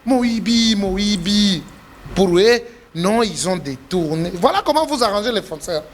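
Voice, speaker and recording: A Congolese politician being interviewed, speaking French with a Congolese accent, forcefully repeating and stressing his points, his voice rising when indignant. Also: animated, unhurried, a little hiss or hum. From Democratic Republic of the Congo. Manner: emphatic, repeats himself, pitch jumps when indignant. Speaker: politician guest.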